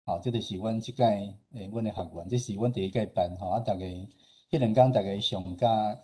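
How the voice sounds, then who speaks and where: Husky, mellow Taiwanese man presenting. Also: fairly low pitch, normal speed. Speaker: radio station head presenting at a forum. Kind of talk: informal presentation with slides.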